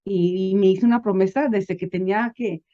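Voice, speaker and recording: A woman's voice: mid-range voice, steady tone, normal speed, dark tone, highs cut off. A woman guest chatting informally in an interview, switching between languages at times. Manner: mixes Spanish and English. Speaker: interview guest, business advisor.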